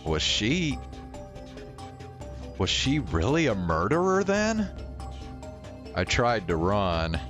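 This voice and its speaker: A man's voice: lowish voice, sing-song, slow pace, pauses often. A man doing casual game commentary and reading visual novel text aloud. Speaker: game streamer. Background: game music.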